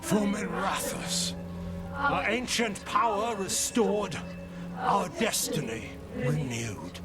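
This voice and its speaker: Slow, swooping, grandiose cultist villain. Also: male voice, sits high, expressive. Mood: grandiose, menacing. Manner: theatrical, menacing, deliberate. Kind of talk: video game voice acting. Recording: loud music over the voice. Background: music.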